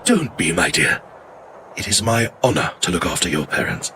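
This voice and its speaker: An actor playing a courteous, loyal older family retainer in a video game, speaking formally and deferentially. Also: male voice, deep voice, very animated, normal speed, noisy background. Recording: music behind the voice. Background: music.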